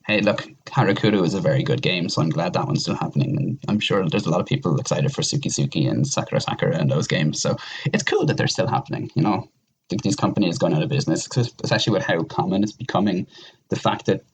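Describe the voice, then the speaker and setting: Podcast guest guy, low husky voice, casual rambly chat. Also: fast. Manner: rambling, conversational.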